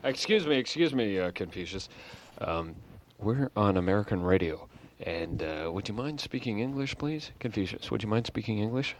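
Deep-voiced mock sportscaster man. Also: expressive, normal speed, few pauses. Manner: formal announcer tone, trips over and corrects his words. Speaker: sportscaster in a sketch.

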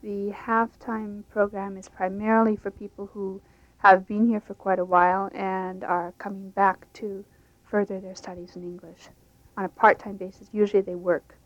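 A woman's voice: medium pitch, level tone, moderate pace, no room sound. A woman who teaches English to immigrants, explaining her programs in an unscripted, plain-spoken way. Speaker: English language instructor. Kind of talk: interview answers, unscripted. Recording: dull lo-fi radio recording.

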